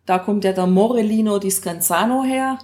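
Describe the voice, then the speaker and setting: A woman's voice: medium pitch, moderate pace, darkish tone. A woman co-hosting a podcast, chatting casually and colloquially about travel. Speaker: podcast co-host. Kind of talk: casual conversational travel talk.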